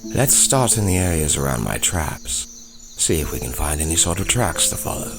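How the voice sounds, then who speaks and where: A low, gravelly voice for a gruff woodland trapper in a fantasy audio drama, acted by a man. He is blunt and dry with formal phrasing, eager to act. Prominent music plays behind him. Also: big pitch swings, moderate pace, heavily acted. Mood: determined.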